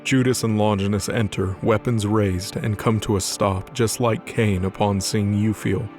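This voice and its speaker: Casting: male narrator for dramatic fiction, fairly low voice, light music underneath. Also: fairly even intonation, moderate pace. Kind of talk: scripted dramatic story narration.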